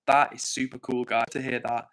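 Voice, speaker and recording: A man's voice: mid-range voice, level tone, brisk, a bit bright. A young man from England hosting a livestream, chatting casually and self-deprecatingly while reading out viewer comments. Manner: chatty, self-deprecating, apologetic, laughs often. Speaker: livestream host.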